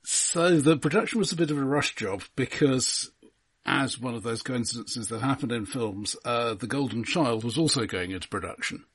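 A man co-hosting a casual film discussion, speaking haltingly and often stammering over repeated words. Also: medium pitch, a bit bright, slightly rough. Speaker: podcast co-host.

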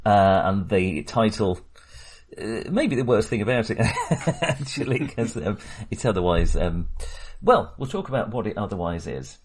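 A man's voice: on the low side, expressive, measured pace, husky. A middle-aged man hosting a casual film discussion podcast with wry, ironic humour. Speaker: podcast host.

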